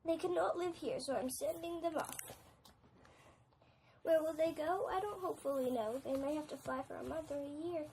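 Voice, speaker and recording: Very high-pitched indignant little forest creature. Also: male voice, normal speed, gravelly, a little mellow, slight background noise. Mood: aggrieved. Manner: pleading, preachy, protesting.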